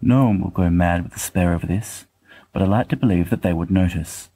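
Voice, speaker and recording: A man playing a wry, bookish young letter-writer, pedantic and affectionate, in a dramatic reading with a husky voice. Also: sits low, fairly quick, mellow. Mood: dryly musing. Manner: pedantic, dryly self-deprecating, wordy.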